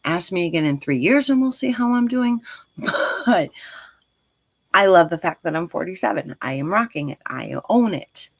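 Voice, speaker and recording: A woman's voice: on the low side, animated, moderate pace, creaky, no room sound, studio quality. A woman in her forties chatting casually on a livestream as host, speaking directly to listeners in an encouraging, reassuring way. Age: 40s.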